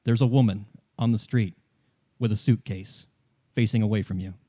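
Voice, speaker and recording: A man narrating a tabletop game in the second person as game master, improvising in a halting way and repeating phrases. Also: lowish voice, steady tone, mellow.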